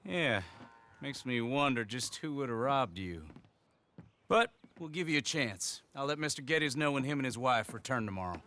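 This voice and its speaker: A man's voice: medium pitch, animated, moderate pace, bright, forceful tone, slight background noise. An actor playing a blunt, skeptical ranch foreman in a western game, with an American accent and a curt, folksy, commanding way of talking. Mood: gruffly relenting. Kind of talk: western video game acting.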